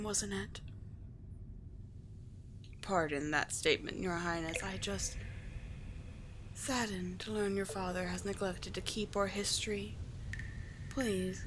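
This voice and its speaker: Woman voicing an unhurried, courtly sea witch. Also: mid-range voice, noisy background, close to an everyday voice. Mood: courtly, reassuring. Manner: soothing, formal endearments, unhurried. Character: calm, courtly, teasing sea witch, gentle yet slightly monstrous. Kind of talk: scripted ASMR roleplay monologue.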